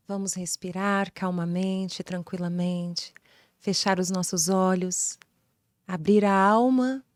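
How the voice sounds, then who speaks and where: A woman from Rio de Janeiro with a carioca accent, a singer guest who speaks in slow, soft, poetic phrases and leads a calm prayer. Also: medium pitch, no room sound, clean studio sound. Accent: Rio de Janeiro Brazilian Portuguese. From Rio de Janeiro, Brazil. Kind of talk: leading a prayer, then interview answers.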